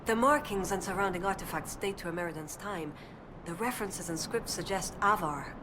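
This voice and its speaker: A woman's voice: lowish voice, a little fast, noisy background, close to an everyday voice. An actress playing a young female elven research assistant in a video game, earnest and determined, speaking in a precise, scholarly way. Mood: eager. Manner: precise, scholarly, thoughtful delivery.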